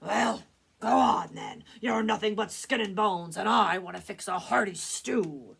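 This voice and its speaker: A woman voicing a greedy, selfish male troll in a read-aloud story, talking loud and slow. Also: medium pitch, vocal fry, slightly rough, heavily acted. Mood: gruff. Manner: loud, slow, roaring growl.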